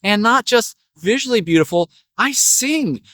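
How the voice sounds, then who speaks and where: A man's voice: very high voice, expressive, unhurried, bright, forceful tone, no room sound, studio quality, pitched above the performer's own voice, heavily acted. A man voicing a vain, cute little parakeet in a story, boastful and self-admiring. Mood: vain, proud.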